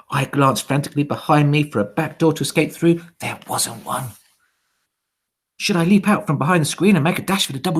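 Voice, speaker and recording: A man reading a children's story aloud in a dramatic, theatrical way, with hushed, suspenseful dips. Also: mid-range voice, breathy edge.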